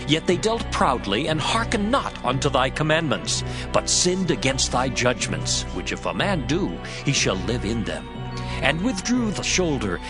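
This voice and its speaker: A man plays a solemn Levite confessing in prayer in a dramatized scripture reading. His mid-pitched voice moves widely up and down in a formal, declamatory delivery, with prominent music underneath.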